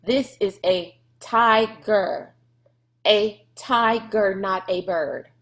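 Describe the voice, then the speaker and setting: A woman's voice: medium pitch, drawn-out words, a bit bright. A woman voicing a headstrong, bossy young girl in a storybook, insistent and emphatic. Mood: defiant.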